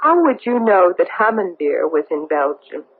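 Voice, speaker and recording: A woman playing a haunted, vengeful massacre survivor in a radio drama, speaking deliberately and accusingly with a husky voice. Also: fairly low pitch, big pitch swings. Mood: grim accusation. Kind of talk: dramatic radio play acting. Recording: tinny, narrow-band old radio broadcast.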